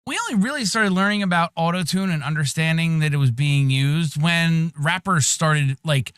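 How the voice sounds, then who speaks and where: A man's voice: fairly high pitch, moderate pace, fairly bright tone, clear, resonant tone, dry recording, studio quality. A man with a whiny, nasal voice co-hosting a podcast through an EQ'd mic, chatting casually and giving his opinions. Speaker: podcast co-host. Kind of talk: casual chat.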